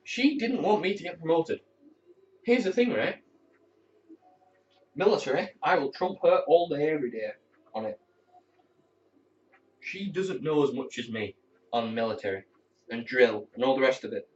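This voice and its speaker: A teenage cadet corporal chatting candidly to camera at home, rambling and swearing now and then. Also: male voice, a little high, moderate pace, pauses often. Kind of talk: casual talk to camera. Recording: distant, muffled mic.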